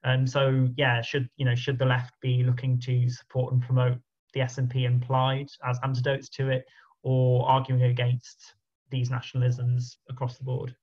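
A man's voice: mid-range voice, completely flat delivery, moderate pace, muffled recording. A man moderating a video call, reading out audience questions in a hesitant way with many "um"s and repeated words.

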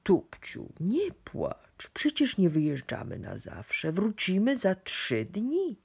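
A woman voicing a calm, gently firm parent mouse in a storybook, explaining things soothingly as if to a child. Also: mid-range voice, takes it slowly, gravelly, slightly rough, heavily acted. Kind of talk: children's storybook read-aloud.

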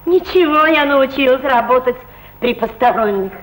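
An older actress playing a pilot's mother, an aging painter who speaks in a dreamy, theatrical way and drops into hushed murmurs. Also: high voice, animated, moderate pace, rough recording. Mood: wistful. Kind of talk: stage play acting.

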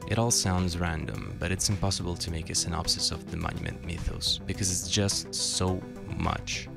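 A man's voice: low voice, normal speed, vocal fry. A man narrating a scripted video essay with dry, wry asides. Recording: music behind the voice.

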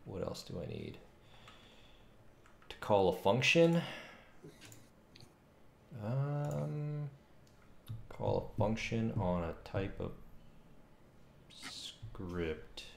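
A man's voice: on the low side, expressive, very slow, gravelly, long pauses, slight background noise. A man in his thirties on a casual live-coding stream, muttering to himself in fragments as he thinks aloud. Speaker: game developer live streamer. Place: small home room, indoors. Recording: echoey room with loud keyboard clicking. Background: typing and mouse clicks.

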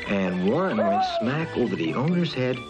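A man reading a scripted children's storybook narration in an expressive storytelling manner. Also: fairly low pitch, moderate pace. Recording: muffled old cartoon soundtrack, background music.

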